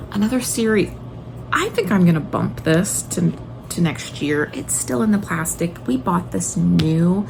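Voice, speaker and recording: A woman's voice: on the low side, creaky, noisy background. A woman in her thirties talking casually and enthusiastically, like a homeschooling mom recommending favorites on her vlog. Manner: enthusiastic, gushing. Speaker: homeschooling video blogger and mother.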